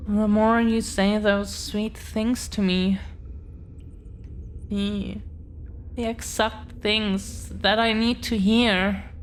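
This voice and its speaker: Woman doing a shy earnest dragon-blooded girlfriend, protective, super slow halting talk, audio roleplay, bit of noise behind her. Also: a little high. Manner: hesitant, halting, earnest, tender. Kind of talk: intimate audio roleplay, scripted confession.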